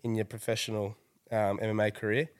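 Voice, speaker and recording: A man's voice: fairly low pitch, little pitch movement, fast, clean tone. A man hosting a podcast in casual chat, congested from a cold, friendly and rambling with fillers. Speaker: podcast host.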